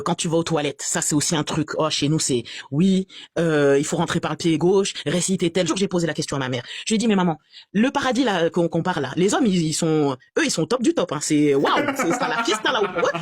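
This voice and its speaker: A woman from France giving an animated, exasperated and sarcastic personal testimony as a guest on a live stream. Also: fairly low pitch, a little fast, husky, no room sound, studio quality.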